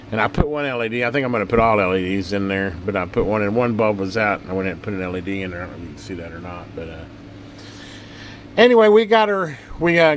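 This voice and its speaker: A man with a fairly low voice talks in a colloquial, folksy manner. He rambles casually as he demonstrates hobby repair work, with a faint layer of noise behind him. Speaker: radio repair hobbyist and channel host. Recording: steady background hum and noise.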